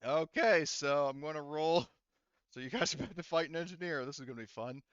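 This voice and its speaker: A man improvising casual tabletop game narration as game master, in a halting way. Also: fairly high pitch, a bit bright, clear, resonant tone, no echo, highs cut off.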